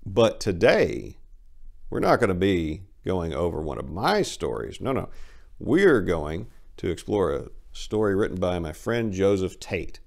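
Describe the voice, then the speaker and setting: Deep-voiced man reading with lively swings. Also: measured pace, creaky. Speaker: channel host, author reading stories. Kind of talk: scripted introduction and dramatic narration.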